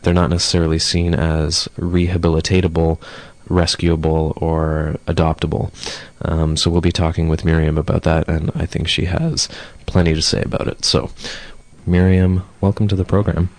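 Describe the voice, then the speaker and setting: Hoarse, very low-voiced male radio host. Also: level tone, normal speed, a little mellow. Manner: reads news steadily, then chats with fillers. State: hoarse from a cold. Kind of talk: community talk radio news and interview. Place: radio studio.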